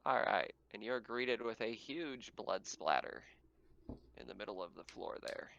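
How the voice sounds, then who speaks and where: Low, creaky-voiced male game master. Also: lively intonation, a little slow, a bit bright, dull audio, a little hiss or hum. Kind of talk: improvised narration of a tabletop roleplaying game.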